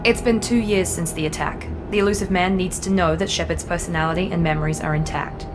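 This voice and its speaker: A woman's voice: on the low side, fairly even intonation, fast talker, close to an everyday voice. A woman playing an exacting, businesslike operative in a sci-fi video game, cool, clipped and insistent. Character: exacting, businesslike female operative of secretive organization. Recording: loud background music. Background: game music.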